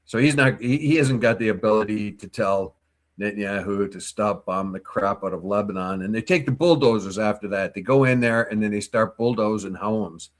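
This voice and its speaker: Lowish voiced guy cohosting a morning news show, rambly and opinionated, lots of restarts. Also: a little fast. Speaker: co-host. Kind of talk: casual news talk.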